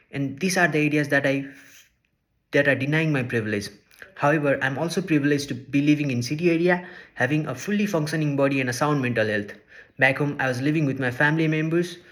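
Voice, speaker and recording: A man's voice: fairly high pitch, fairly bright tone. Earnest young man, English speaker. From Nepal. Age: young adult. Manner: measured and earnest, listing points one by one. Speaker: social work student. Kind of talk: reading a prepared class presentation.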